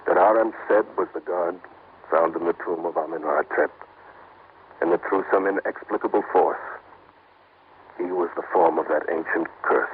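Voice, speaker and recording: An actor playing a composed investigator in an old radio drama, with measured, dramatic delivery. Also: male voice, low voice, lightly acted. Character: composed male investigator narrating his own supernatural tale. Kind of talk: old-time radio drama acting and narration. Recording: tinny, noisy vintage radio recording.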